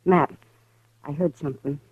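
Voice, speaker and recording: An actress playing a worried grown female saloon keeper who speaks softly and hesitantly, in a 1950s radio western. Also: low-pitched, fairly even intonation, moderate pace, breathy edge, no room sound. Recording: dull old radio broadcast.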